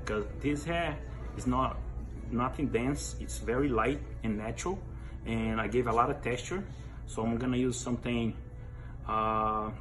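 A man in his thirties giving hands-on barber instruction to camera in simple, halting phrases with non-standard grammar, recorded indoors a few steps from the camera. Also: mid-range voice, poor audio. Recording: music laid over the voice. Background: music.

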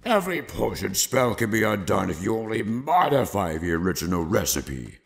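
A man voicing a stern, commanding goat villain with a harsh, booming, gravelly voice in a dramatized story reading. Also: sing-song, moderate pace. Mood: stern, scornful. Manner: harsh, threatening, theatrical. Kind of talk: dramatized fan fiction reading. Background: background music.

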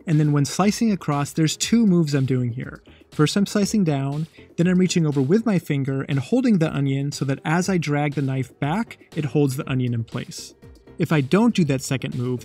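A man's voice: on the high side, darkish tone. Man narrating a playful cooking lesson. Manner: playful, jokey instructional tone. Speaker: cooking show host.

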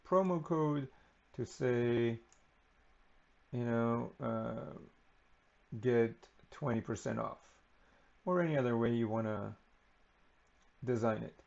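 A man giving an unscripted screen-recording walkthrough of software, relaxed and unhurried with frequent ums and uhs. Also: medium pitch, vocal fry, muffled recording. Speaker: software tutorial presenter.

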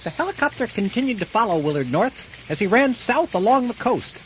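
A man's voice: high voice, big pitch swings, fairly quick, noisy background. A man acting a solemn storyteller narrating a radio drama in a theatrical, rhetorical manner.

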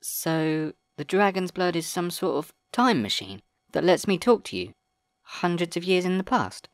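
A man's voice: high-pitched, animated, a little slow, no echo, studio quality, pitched above the performer's own voice. A man reading an audiobook, voicing a curious, polite girl of nearly eleven who asks questions in a lazy, dreamy way. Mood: puzzled.

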